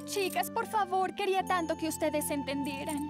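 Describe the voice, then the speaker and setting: High, pleading cartoon teen. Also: female voice, moderate pace, over loud music. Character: gentle, apologetic teenage girl. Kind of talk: animated cartoon dubbing.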